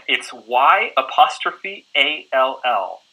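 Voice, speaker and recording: A man with a Southern American accent speaks in an easygoing, chatty way. His voice is very bright, and he often checks in with a quick "right?". He sounds like a teacher explaining things in an interview. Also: medium pitch, very animated, slightly rough, rough recording. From southern United States. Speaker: guest English teacher. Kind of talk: casual interview answers.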